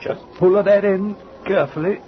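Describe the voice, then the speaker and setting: An actor playing a polite, composed sea captain who speaks formally and matter-of-factly, with small hesitations, in 1940s radio drama style. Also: male voice, sits high, fairly even intonation, brisk, close to an everyday voice. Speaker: ship's captain. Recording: dull old radio recording with clear hiss.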